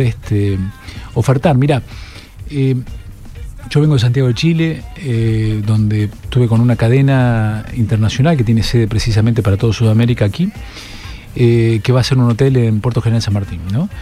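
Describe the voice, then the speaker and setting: A middle-aged man with an Argentine Spanish accent, answering interview questions as a political candidate and pausing often on fillers and restarts. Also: medium pitch, dark tone, soft background music. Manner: measured, with many fillers and restarts.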